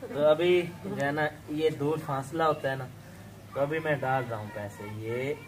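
A man's voice: medium pitch, normal speed, crowd noise, rough recording. A young man from Pakistan hosting a casual village vlog outdoors, chatty and laughing often as he talks to his viewers. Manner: chatty, laughs often, keeps saying "okay". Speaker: vlog host.

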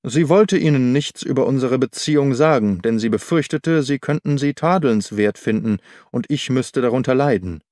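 A man voicing a weary, earnest and dignified gentleman in an audiobook, speaking in formal, measured phrases. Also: mid-range voice, no room sound, clean studio sound, lightly acted. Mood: earnest, pained. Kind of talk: audiobook character dialogue.

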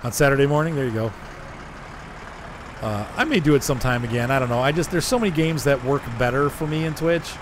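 A hoarse man chatting casually as he streams a driving game, rambling and answering his chat. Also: mid-range voice, quick pace. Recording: noisy game sound behind.

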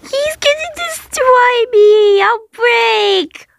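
A woman voicing an excitable, sweet small boy who blurts things out in a childlike way for an amateur comic dub. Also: extremely high pitch, very slow, creaky, background noise, pitched above the performer's own voice, exaggerated voice. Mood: excited, fretful.

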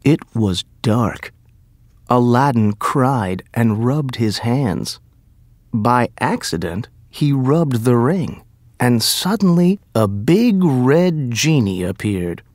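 A male narrator tells a story very slowly and carefully. His pitch moves in a lively way, and the recording is dry. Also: dry recording. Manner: careful, deliberate. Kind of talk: scripted storytelling for English learners.

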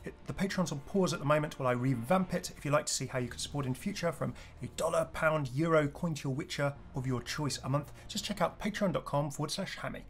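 A man's voice: fairly high pitch, quick pace, hardly any pauses. A man hosting a gaming video, giving a casual, chatty outro voice-over. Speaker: video creator and host. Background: music.

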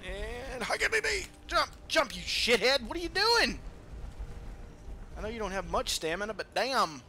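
A man giving casual let's-play game commentary in an excitable, foul-mouthed manner. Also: very high voice, a little slow, a little hiss or hum. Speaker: gamer playing and commentating.

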